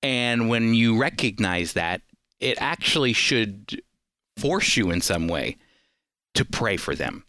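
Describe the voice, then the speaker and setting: A man's voice: on the low side, big pitch swings, slow, pushed and bright, no echo, clean studio sound. A middle-aged American man hosting a program, giving scripted commentary with an earnest, preacherly emphasis. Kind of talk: scripted faith and politics commentary.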